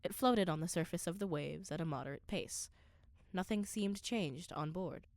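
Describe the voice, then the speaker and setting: A woman's voice: on the low side, moderate pace, a little mellow, no echo, clean studio sound, lightly acted. A woman playing an earnest scholar who narrates an undersea voyage in a radio play, with precise, literary, dramatic delivery. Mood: measured, puzzled.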